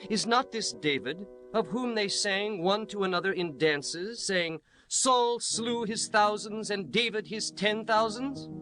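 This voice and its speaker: A man's voice: high voice, measured pace, few pauses, sharp tone, faint music behind. A man playing an angry, suspicious warrior prince in a dramatized scripture audiobook, indignant and declamatory. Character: angry, suspicious warlord prince demanding dismissal.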